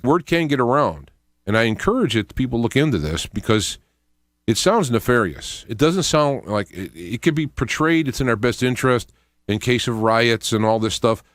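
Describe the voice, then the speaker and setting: A middle-aged to older man hosting a radio show, thinking aloud in a halting, unscripted monologue with repeated words. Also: mid-range voice, expressive, no room sound, studio quality. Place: small indoor home studio. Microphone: close studio microphone with headphones.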